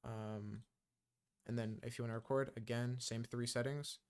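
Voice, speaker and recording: A man giving a casual music-software tutorial, talking loosely with many ums and uhs. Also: medium pitch, little pitch movement, normal speed, slight background noise.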